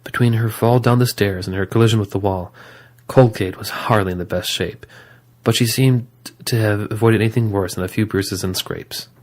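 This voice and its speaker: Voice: mid-range voice, fairly even intonation, moderate pace, a little mellow. A narrator reading scripted third-person story narration for an audio drama.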